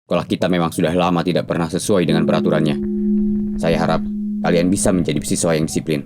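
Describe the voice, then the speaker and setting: A man performing a stern schoolmaster from an animated visual novel. His voice is very deep and commanding, with an authoritarian firmness. Clear music plays behind him. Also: quick pace, natural voice. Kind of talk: acted visual novel drama.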